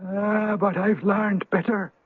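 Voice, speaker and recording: An age-old spirit of the rock, wise and sceptical, declaiming in a radio play. The voice is high and rough-edged. It comes through a thin, echoey, phone-like recording. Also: male voice, fairly even intonation, slow, full character voice. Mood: booming, knowing. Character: ancient male spirit of a rock, former Viking pirate, worldly-wise. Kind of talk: old-time radio drama acting.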